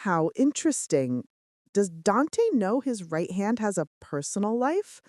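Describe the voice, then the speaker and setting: A woman's voice: mid-range voice, sing-song, unhurried, a little mellow, no echo, studio quality, pitched above the performer's own voice. A woman voicing a sly, curious male business rival in an audiobook romance, with an amused, probing manner. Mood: amused curiosity.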